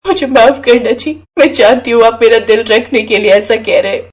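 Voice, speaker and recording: A woman's voice: a little high, moderate pace, close to an everyday voice. A woman voicing a poor, devoted young wife and mother in a moral story, speaking in an earnest, plaintive way. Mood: resigned.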